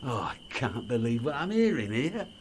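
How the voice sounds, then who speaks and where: An actor playing a blunt, grumbling middle-aged British ex-miner, gruff and wary, in an audio drama. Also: male voice, medium pitch, big pitch swings, normal speed. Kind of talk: naturalistic audio drama acting.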